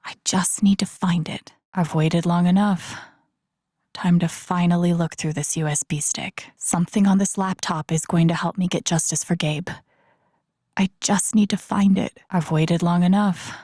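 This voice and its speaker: A woman's voice: lowish voice, steady tone, moderate pace, darkish tone, breathy edge, no room sound, studio quality. An actor playing an empathetic, introspective young woman in a video game, musing to herself in an understated, reflective way. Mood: determined, focused. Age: young adult. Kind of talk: naturalistic video game voice acting, inner monologue.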